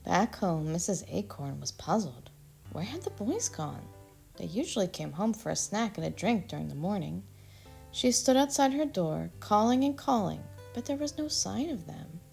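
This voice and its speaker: A woman's voice: mid-range voice, big pitch swings, moderate pace, vocal fry, darkish tone, soft background music. A woman from Texas, reading a children's story aloud expressively. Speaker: storybook reader. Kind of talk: children's read-aloud narration.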